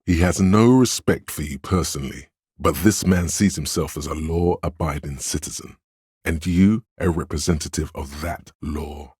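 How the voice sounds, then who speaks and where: A domineering male inner voice of authority for a video game. The pitch is extremely deep and the tone smooth and resonant. He speaks with proud, deliberate command, in a close, dry recording. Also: darkish tone, no echo, heavily acted. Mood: stern, appraising. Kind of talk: scripted video game voice acting.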